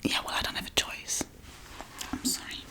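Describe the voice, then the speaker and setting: A woman playing a forgetful, darkly playful witch in a soft-spoken, whispery ASMR role-play, murmuring gently and absent-mindedly. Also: moderate pace, close to an everyday voice. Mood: reading, muttering. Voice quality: breathy, whispery.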